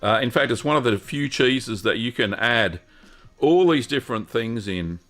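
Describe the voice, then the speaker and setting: A man's voice: mid-range voice, expressive, normal speed, a bit bright. An older man hosting a live-stream Q&A, talking in a rambling, friendly way with lots of ums. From Australia. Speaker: host answering cheese-making questions.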